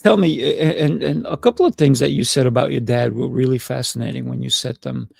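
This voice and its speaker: A man's voice: medium pitch, fast, gravelly, darkish tone, breathy edge, dry recording, studio quality. A man hosting a podcast, interviewing conversationally and sometimes searching for his words. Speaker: podcast host.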